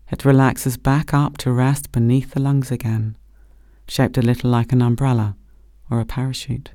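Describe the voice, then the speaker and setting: A man gently leading a guided body scan meditation as a meditation teacher, speaking slowly and soothingly. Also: medium pitch, dry recording, studio quality. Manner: gentle, slow, soothing instruction.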